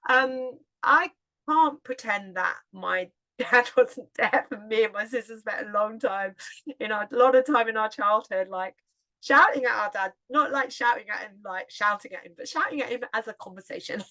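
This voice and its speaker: Woman, middle-aged, Hertfordshire English accent; loud, chatty podcast host with a bright tone. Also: on the high side, moderate pace, poor audio. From England. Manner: loud, chatty, laughs often. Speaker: podcast host and yoga teacher. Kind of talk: casual solo podcast talk.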